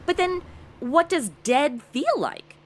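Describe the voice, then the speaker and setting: Woman playing a high, dramatic young American ditz. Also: big pitch swings, slow, exaggerated voice. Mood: uneasy, flippant. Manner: dramatic modern slangy delivery. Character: flighty, self-absorbed young ex-cheerleader makeup blogger, melodramatic. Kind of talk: comedy audio drama acting.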